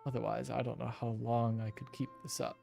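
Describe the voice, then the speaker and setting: A man's voice: mid-range voice, flat delivery, fairly quick, vocal fry, dark tone, faint music behind. A man reading game text aloud and commenting casually as he plays. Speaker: game streamer.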